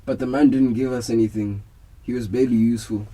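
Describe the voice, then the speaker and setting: A man's voice: medium pitch, steady tone, fast, mellow, natural voice, poor audio. A young man playing an attentive detective reasoning through a case in a radio drama. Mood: questioning.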